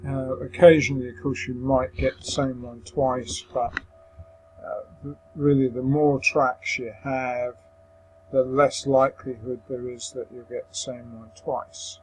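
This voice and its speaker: Male tutorial presenter, unhurried and hesitant, mid pitch, walking through software over a faint noisy hum. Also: little pitch movement. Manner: hesitant, explaining step by step. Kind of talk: software screen-recording walkthrough.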